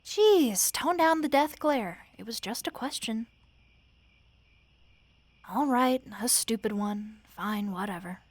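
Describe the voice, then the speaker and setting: A woman playing a tired, wry, sleepless roommate in a soft-spoken audio roleplay, talking casually and haltingly with fond, dry teasing. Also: a little high, lively intonation, no echo. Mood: weary, subdued. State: sleepy, weary.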